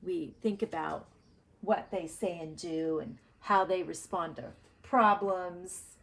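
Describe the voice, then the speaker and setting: Slow-paced woman teaching through a read-aloud. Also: on the low side, steady tone, creaky, fairly bright tone, poor audio. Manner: deliberate, explanatory. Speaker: primary school reading teacher. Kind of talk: read-aloud lesson for young children, thinking aloud.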